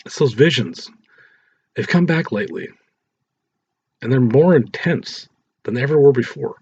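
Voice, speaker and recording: A man's voice: medium pitch, animated, moderate pace, darkish tone, a little hiss or hum, pitched above the performer's own voice, close to an everyday voice. A man voicing a troubled, edgy teenage boy, sheepish and weary, in a read-aloud story. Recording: roomy echo, dull lo-fi sound.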